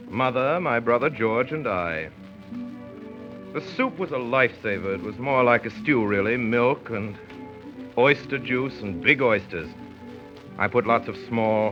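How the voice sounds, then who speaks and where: An actor playing a grown son narrating family memories, wry and measured, in 1930s radio style. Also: male voice, medium pitch, natural voice. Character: grown son wryly recalling his domineering father. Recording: dull 1930s radio recording with music.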